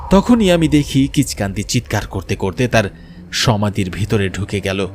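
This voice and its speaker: A man's voice: on the low side, big pitch swings, normal speed, background noise. A man playing a frightened young man, a betrayed lover telling his horror story in an earnest, dramatic first-person narration. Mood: tense narration.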